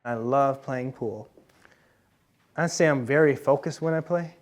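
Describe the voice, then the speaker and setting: A man's voice: mid-range voice, a little slow, slight background noise. A man of twenty-one speaking earnestly about his own experience in an interview. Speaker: youth who aged out of foster care.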